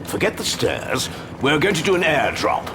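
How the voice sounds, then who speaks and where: An actor playing a pompous, unflappable older British intelligence chief with a gravelly voice and droll understatement, in an audio drama. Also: male voice, a little high, big pitch swings, normal speed, background noise. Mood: brisk, droll.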